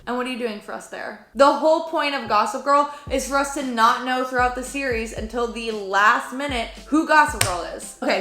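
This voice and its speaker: Bright, chatty young woman vlogging. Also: fairly high pitch. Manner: chatty and animated, playful sarcasm. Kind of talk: casual opinion vlog, ranking shows. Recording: echoey room with faint music.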